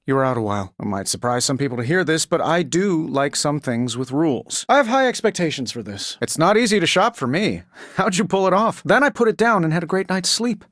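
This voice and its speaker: An actor voicing a cocky, wisecracking genius inventor superhero in a video game, with an American accent and a sarcastic, glib delivery. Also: male voice, a little high, a bit bright, no room sound, studio quality. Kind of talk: video game character voice lines.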